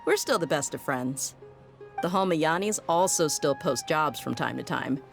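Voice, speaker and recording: A woman's voice: low-pitched, animated, measured pace, gravelly, faint music behind, full character voice. A woman playing a tough, easygoing desert mercenary in an anime game, speaking in a casual, frank, reassuring way. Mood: friendly.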